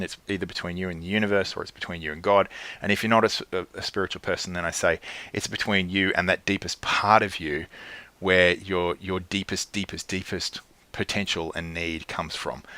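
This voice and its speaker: A man coaching his clients, earnest and checking in often, with lively jumps in pitch and frequent restarts. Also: dry recording. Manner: earnest, keeps checking in with "right?". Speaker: life coach.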